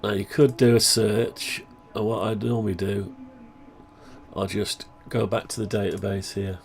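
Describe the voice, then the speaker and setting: A low, gravelly man with a groggy voice, still getting over the flu. He rambles casually through a demo, thinking aloud and clearing his throat now and then. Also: little pitch movement, unhurried. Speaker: hobbyist maker showing his own software. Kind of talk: casual screen-share project update.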